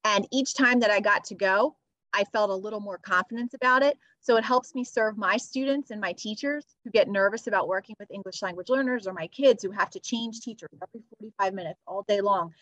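A woman's voice: medium pitch, brisk, fairly bright tone, no room sound, low-quality recording. A woman teacher talking in an earnest, upbeat way as a podcast guest, with many "you know" fillers. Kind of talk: podcast interview. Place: near Philadelphia.

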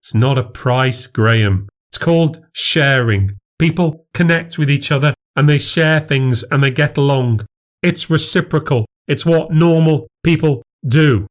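A man's voice: medium pitch, fairly even intonation, measured pace, few pauses, lightly acted. A man voicing an indignant, sincere wife in audiobook dialogue, exasperated and defensive.

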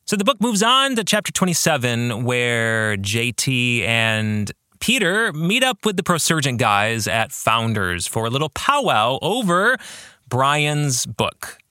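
A man hosting a podcast, giving reflective commentary on a book in measured, emphatic phrases and putting questions to listeners. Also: medium pitch, very animated, pushed and bright, clear, resonant tone, dry recording, clean studio sound. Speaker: podcast host and author.

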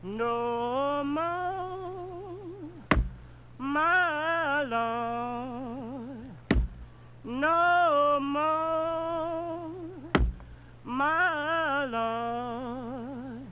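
A woman singing a spiritual in a drawn-out style, on a dull music recording. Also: high voice. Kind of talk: slow sung spiritual.

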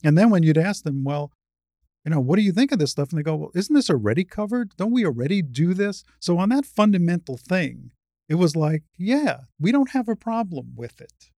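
An older woman speaks as a guest in a conversational interview. Her voice is low with a good deal of creak, and she explains things in an assured way. The sound is dry and close. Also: very animated, brisk, dark tone, dry recording.

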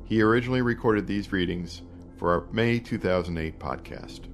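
A man's voice: on the low side, normal speed, faint music behind. A man reading a scripted podcast announcement as the host.

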